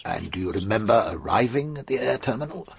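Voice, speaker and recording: An actor playing a patient, courteous senior police detective in a radio drama, measured and gently probing. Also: male voice, on the low side, lively intonation, gravelly. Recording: noisy archive radio drama recording.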